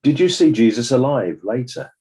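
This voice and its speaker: A man playing a formal, probing examiner who puts questions in a measured, official way in a dramatized inquest. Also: mid-range voice, expressive, warm tone, lightly acted.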